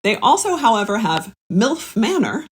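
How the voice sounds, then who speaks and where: Женщина в непринуждённом комедийном подкасте. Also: female voice, on the high side, very animated, moderate pace, a little hiss or hum. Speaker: guest comedian leading a pitch game. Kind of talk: casual comedy podcast chat.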